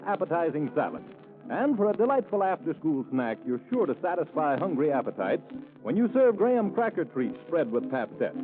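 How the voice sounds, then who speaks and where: A man reading a scripted 1940s radio advertisement in an enthusiastic, polished selling manner. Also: on the high side. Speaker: commercial announcer. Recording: tinny 1940s broadcast with music.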